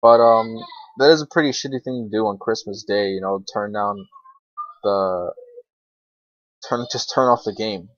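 A man's voice: lowish voice, moderate pace, pauses often, dry recording. A sixteen-year-old male gamer giving casual, rambling commentary over gameplay in a hesitant, informal way. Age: teenager, 16. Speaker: gaming video creator. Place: indoors at a computer, screen recording. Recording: dull, lo-fi, dry computer mic.

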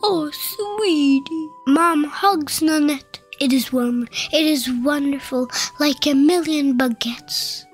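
A woman reading a children's picture book aloud in a slow, playful storybook manner. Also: high voice, fairly even intonation. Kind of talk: children's read-aloud narration. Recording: faint music behind the voice.

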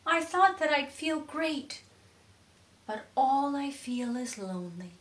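A woman voicing a wistful young female pony with expressive, theatrical storybook delivery. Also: high voice, slow pace, reverberant, voice pushed up, heavily acted.

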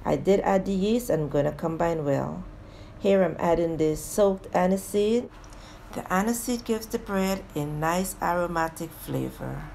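Woman with a low, mellow voice walking through a recipe. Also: creaky, a little hiss or hum. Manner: plain, steady instructional tone addressing viewers as guys. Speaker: home cooking show host. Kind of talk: step-by-step recipe narration.

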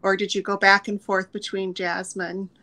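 A middle-aged woman asks an author questions over a video call from her home. Her voice is bright and forward, and she talks in halting phrases full of fillers. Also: medium pitch, little pitch movement. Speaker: book club host. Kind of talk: interview questions. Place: home room.

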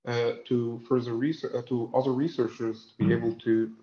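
A dull, roomy video-call recording of a man speaking as an academic. His pitch is middling, and he words his answers formally, pausing often as he goes. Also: level tone, dark tone, a little hiss or hum. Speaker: academic researcher, lecture presenter. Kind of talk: scholarly discussion and question answering. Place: indoor room on video call. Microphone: video call, sitting back from camera.

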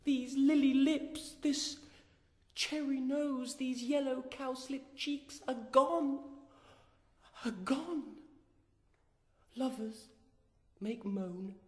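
An actress playing a grieving young maiden, lamenting melodramatically in Shakespearean verse for a radio drama. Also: female voice, on the high side. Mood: distraught. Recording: echoey hall with long reverb.

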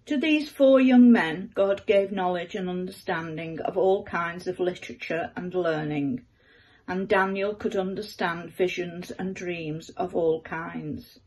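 An older woman, around her seventies, reading aloud from the Bible in a measured manner at home. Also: lowish voice, darkish tone. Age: older, about 70s. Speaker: scripture reader. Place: living room at home.

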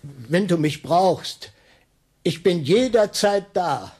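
A man's voice: high-pitched, lively intonation, takes it slowly, a little mellow. An actor in a radio play voicing a cynical, mocking, melancholy man who swings theatrically between murmurs and cries. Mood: resigned. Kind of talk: radio drama acting.

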